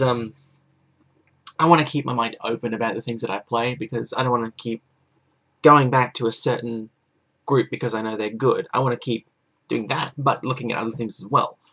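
Husky young man, earnest. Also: mid-range voice, a bit bright. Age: young adult. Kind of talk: casual webcam interview answer. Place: bedroom indoors. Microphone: webcam.

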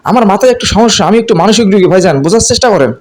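A man voicing a high-pitched pleading simple-minded man. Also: very fast, lightly acted. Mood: anxious, pleading. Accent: Bangladeshi. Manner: pleading, whimpering, repeatedly addressing elders respectfully. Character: pleading, frightened, simple-minded orphan man being accused. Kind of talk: comedy cartoon voice acting.

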